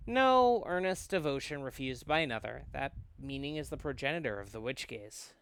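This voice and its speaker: A man reading a visual novel aloud as a learned, cautious scholar, formal and measured as he explains and narrates. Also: medium pitch, expressive, vocal fry, a bit bright, clean tone, low-quality recording. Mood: solemn.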